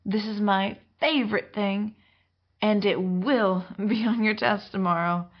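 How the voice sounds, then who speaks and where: A woman's voice: mid-range voice, fairly even intonation, measured pace. A woman playing a demanding, overeager schoolteacher handing out work to her class. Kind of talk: amateur audio drama acting. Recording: muffled lo-fi recording.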